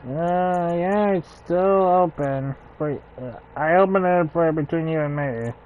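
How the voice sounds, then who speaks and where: A man chatting casually while he plays, his speech halting and distracted, with lots of hesitations. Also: on the high side, phone-quality sound. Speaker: gaming streamer. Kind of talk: casual chat while playing.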